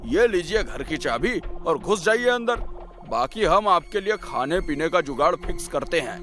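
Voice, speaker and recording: Man playing a high-voiced, effusive broker. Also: very animated, normal speed, a bit bright, faint music behind. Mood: excited, welcoming. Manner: effusive, colloquial, ingratiating. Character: chatty, folksy, eager male hill-station property broker. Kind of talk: comic animated story acting.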